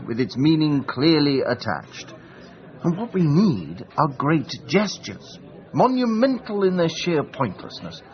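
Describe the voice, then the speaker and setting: An actor playing a pompous, grandiloquent English gentleman adventurer with an English accent, in a radio drama. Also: a little high, muffled recording. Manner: grandiloquent, declamatory.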